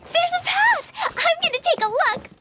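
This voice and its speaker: A woman's voice: very high-pitched, very animated, moderate pace. A woman voicing an eager, innocent little adventurous girl with a childlike voice in a video game, over music. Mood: excited. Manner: eager, exclaiming. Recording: music behind the voice.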